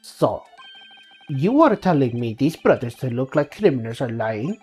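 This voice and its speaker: An earnest, suspicious Russian man acted by a woman serving as a juror in a courtroom game. The voice drops low and husky, with halting, clipped phrases and a Russian accent. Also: sing-song, a little mellow, faint music behind, full character voice. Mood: suspicious, stern. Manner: halting, clipped, dropped articles.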